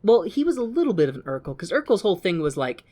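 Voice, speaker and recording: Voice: medium pitch, big pitch swings, quick pace, dark tone. A podcast host chatting casually through a movie recap, with crude, profane joking and bits of acted-out dialogue.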